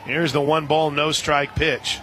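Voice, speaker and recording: Guy doing radio softball play by play, kinda high voice, folksy and chatty, noisy outdoor ballpark behind him. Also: flat delivery, a little slow. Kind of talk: live softball commentary. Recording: loud crowd and outdoor stadium noise. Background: crowd noise, outdoor ambience.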